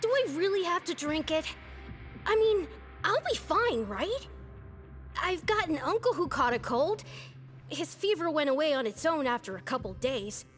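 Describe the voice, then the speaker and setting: Woman doing a bratty little boy, high and sing-songy, whines about everything. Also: very animated, normal speed, fairly bright tone, faint music behind. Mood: whiny. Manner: whiny, complaining. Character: sulky young boy patient, whiny and restless.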